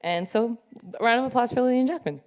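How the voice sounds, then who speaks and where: A woman's voice: on the high side, quick pace, darkish tone, a little hiss or hum. A woman hosting an event and introducing the next speaker in a casual way. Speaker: event host.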